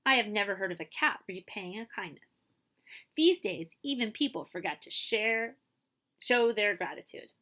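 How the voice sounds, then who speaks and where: Woman reading as a bright, emphatic old merchant. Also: mid-range voice, expressive, moderate pace. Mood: wondering, grateful. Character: kindly, astonished rich old male merchant, grandfather.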